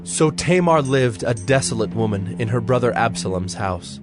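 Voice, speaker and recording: Mid-pitched male Bible narrator over music. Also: expressive, moderate pace. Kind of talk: dramatic scripted Bible narration.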